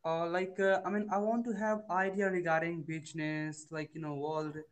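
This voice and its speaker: A young Indian man with a Bihari accent practices English over a video call. His voice sits a little high for a man, and he talks hesitantly, leaning on fillers. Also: flat delivery, moderate pace. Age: young adult. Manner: hesitant, many fillers like "you know" and "I mean". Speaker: student learning English. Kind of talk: casual conversation lesson.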